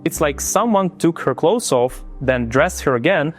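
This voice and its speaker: A man's voice: a little high, animated, unhurried, soft background music. A man from Ukraine with a Ukrainian accent, hosting and narrating a true crime story in a chatty way that speaks straight to viewers. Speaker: true crime channel host.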